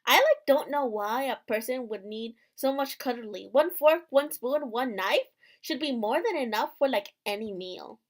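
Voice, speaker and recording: A woman voicing an eager, scatterbrained young princess, girlish and halting, with "like" peppered through her sentences. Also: on the high side, expressive, lightly acted. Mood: puzzled.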